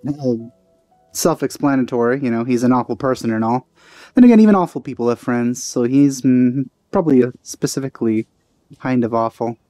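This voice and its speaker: Male let's-player: mellow, dry-recorded voice, wry casual asides over faint game music. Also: mid-range voice, a little fast, no echo. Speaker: let's play host. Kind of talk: casual gameplay commentary.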